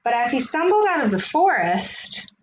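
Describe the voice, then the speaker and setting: A woman reads a picture book aloud for children in a highish, very animated voice. She stretches phrases for dramatic effect, like a practiced storyteller. Some background noise and clicks sit behind her. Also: moderate pace, rough recording. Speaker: read-aloud host.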